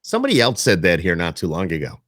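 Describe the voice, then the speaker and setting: A man's voice: sits low, huge pitch swings, fast, fairly bright tone. A man hosting a podcast, chatting casually with his guests and often echoing his own agreement. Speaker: podcast host interviewer. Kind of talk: casual chat interview.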